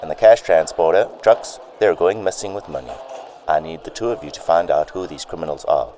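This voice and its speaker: Guy acting as gruff-mannered stern police boss in a radio play, low dark voice. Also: brisk, a little hiss or hum, natural voice. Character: brisk, stern male police chief.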